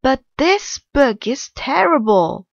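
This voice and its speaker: A woman's voice: sits high, big pitch swings, slow pace, vocal fry, dry recording, muffled recording, pitched above the performer's own voice, exaggerated voice. A woman voicing an easily outraged mother witch in a storybook, with a screeching, scolding, dramatic delivery. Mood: wailing dismay.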